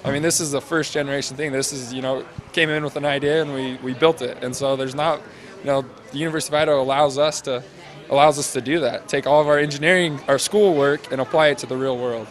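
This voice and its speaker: A man in his twenties, a student interviewee, explaining a project off the cuff in casual, halting phrases into a handheld mic. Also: medium pitch, fairly even intonation. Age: 20s. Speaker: interviewee, senior engineering student presenting a project. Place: large glass-walled university hall or lobby. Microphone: handheld interview microphone held out to him.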